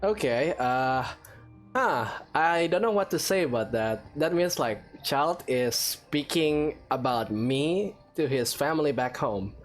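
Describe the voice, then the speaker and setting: A man's voice: medium pitch, animated, takes it slowly. A man giving casual, hesitant game commentary, musing aloud as he plays and reads dialogue choices. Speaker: gaming video host. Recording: game music behind the voice.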